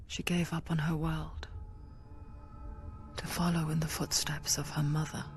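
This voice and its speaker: An actress playing an intimate, solemn female narrator in a video game, hushed and whispery, with measured delivery. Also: low-pitched, little pitch movement, soft background music. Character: intimate, solemn female storyteller inside the mind. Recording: loud noisy ambience behind.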